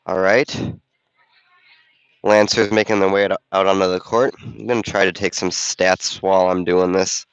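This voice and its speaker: A man's voice: sits low, level tone, moderate pace, clean tone, dry recording, muffled recording. A man doing casual play-by-play commentary while also running the camera, congested from a cold, chatting and thinking aloud. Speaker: volunteer game commentator and camera operator. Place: school gym during a basketball game.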